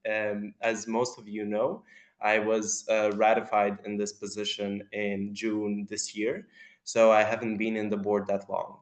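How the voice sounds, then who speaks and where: Pria muda beraksen Latvia, suara rendah. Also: male voice, on the low side, level tone, unhurried. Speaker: election candidate. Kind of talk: campaign speech on a video call. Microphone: video call.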